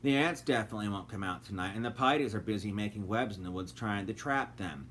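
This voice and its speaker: A man's voice: lowish voice, quick pace, fairly bright tone, slight background noise, pitched below the performer's own voice, lightly acted. A man voicing a cocky, know-it-all bumblebee who talks brashly. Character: cocky bumblebee, streetwise gambler.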